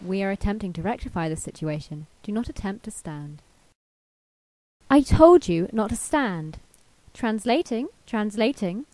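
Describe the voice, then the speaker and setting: A woman playing a sardonic artificial intelligence in an amateur radio drama, speaking formally and deadpan. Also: mid-range voice. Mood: flat, official. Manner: formal, deadpan announcements with dry sarcasm. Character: sardonic female machine intelligence with a programmed personality. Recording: clearly noisy homemade recording with clicks.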